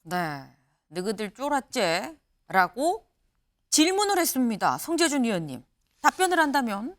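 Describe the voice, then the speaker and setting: A woman's voice: fairly high pitch, very animated, measured pace. A woman news anchor hosting a morning news talk show, chatty and laughing with her guests. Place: TV studio.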